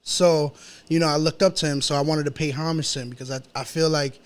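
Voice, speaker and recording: New York man, slightly higher voice. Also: fairly even intonation, quick pace, clear, resonant tone. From New York City, United States. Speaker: rapper, interview guest. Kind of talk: casual interview chat.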